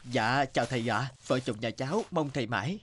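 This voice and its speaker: A man voicing a nervous, deferential village husband in an animated folk tale, polite and easily flustered. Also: medium pitch, fairly quick, a little hiss or hum, natural voice. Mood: respectful.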